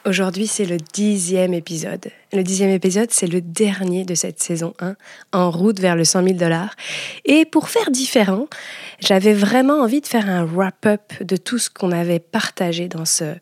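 A woman hosting a podcast, telling her own story to listeners in an expressive, confiding way. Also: medium pitch, normal speed, dry recording, studio quality. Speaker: podcast host and entrepreneur.

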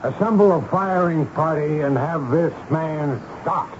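An actor playing a stern, commanding army major who interrogates prisoners and narrates in a 1970s radio drama. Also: male voice, a little high, a little slow, very noisy, lightly acted. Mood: cold, menacing. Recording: dull lo-fi 1970s radio broadcast.

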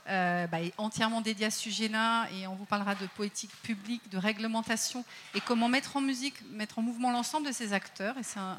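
A woman with a slightly higher voice welcomes a conference audience through a mic. She introduces each speaker formally, pausing now and then on small fillers. A faint murmur of the room sits behind her. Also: fairly bright tone, slight background noise. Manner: hesitant, some fillers. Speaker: event organizer.

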